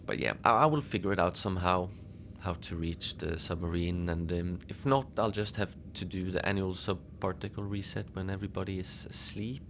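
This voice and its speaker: A man playing an easygoing, whimsical lone Antarctic station manager, rambling in a conversational, deadpan audio-fiction monologue. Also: deep voice, fairly quick, few pauses, background noise. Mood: mild, pondering. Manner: musing, conversational, deadpan about absurd things. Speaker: fiction podcast narrator, lone facility manager at a polar station. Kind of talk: first-person audio fiction monologue, rambling radio log.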